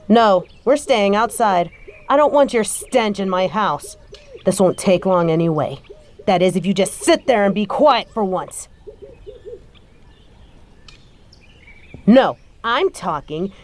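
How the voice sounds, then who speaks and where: A woman playing a bitter adult daughter confronting her stepfather in an audio roleplay, scathing, profane and confrontational. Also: fairly high pitch. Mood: scornful, angry. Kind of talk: scripted audio roleplay monologue.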